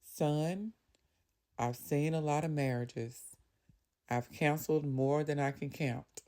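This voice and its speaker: A woman voicing an older male pastor who counsels slowly and gravely. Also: sits low, a little mellow. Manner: slow, weighty counsel.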